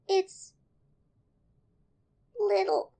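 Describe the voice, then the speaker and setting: A little storybook youngster, earnest and wide-eyed. The voice is tiny and extremely high. Words are stretched out very slowly. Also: female voice, creaky, warm tone, a little hiss or hum, exaggerated voice. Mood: gentle, earnest. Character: tiny, earnest, excitable young child or young camel.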